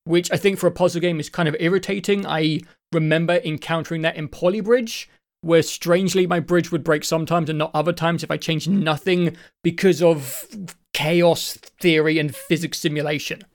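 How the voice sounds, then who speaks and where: A man co-hosting a casual podcast about games, his voice croaky because his throat won't clear, rambling along with restarts and the odd swear. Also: on the high side, fairly even intonation, normal speed. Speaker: podcast co-host. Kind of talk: casual chat.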